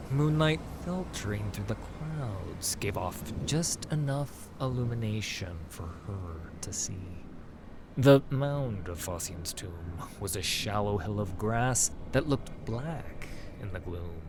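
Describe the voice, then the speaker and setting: A man narrating a fantasy story as a dramatic audiobook, with measured, ominous storytelling. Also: fairly low pitch, noisy background.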